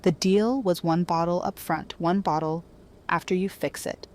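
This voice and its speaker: A jaded woman with a slightly low voice, played by an actress in an audio drama. She is blunt and confrontational, a hard bargainer with a cutting edge. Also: fairly even intonation, moderate pace, a little mellow, lightly acted. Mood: demanding, unimpressed.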